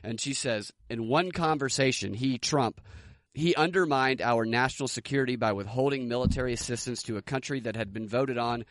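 A man's voice: medium pitch, fairly quick, a bit bright, dry recording. An American man co-hosting a podcast, talking through politics in a casual commentary style. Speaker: podcast co-host.

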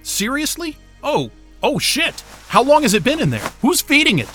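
Cranky sarcastic scientist in an audio drama, high sharp voice that swings all over, exasperated. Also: male voice, huge pitch swings, normal speed, slightly rough, faint music behind, heavily acted. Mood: sarcastic, baffled. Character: Wayne, irritable sarcastic astrophysicist, adult man. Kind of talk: naturalistic audio drama acting.

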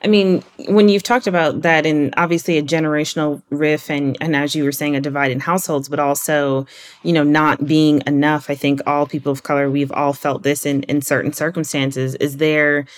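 A woman's voice: sits low, level tone, normal speed. A woman hosting a podcast, asking thoughtful questions and listening closely with short agreeing sounds. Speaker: podcast host. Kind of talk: interview questions.

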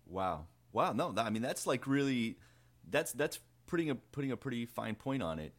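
Playful host with stumbling questions. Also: male voice, mid-range voice, big pitch swings, normal speed. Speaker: interview host. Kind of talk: casual interview.

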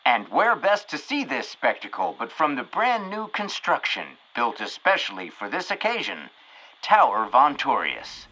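An actor playing a jaunty old-time radio show announcer, showy and folksy. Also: male voice, medium pitch, wildly swooping pitch, a little slow, bright, forceful tone, heavily acted, poor audio. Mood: excited, showy.

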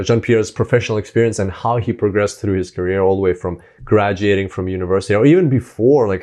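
A man's voice: on the low side, a little mellow. A man hosting a podcast, introducing his guest with enthusiastic, filler-filled talk. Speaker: podcast host.

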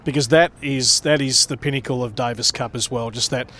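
A man's voice: mid-range voice, steady tone, brisk, pushed and bright. A man hosting a tennis podcast, asking long, winding questions in an interview. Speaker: podcast host.

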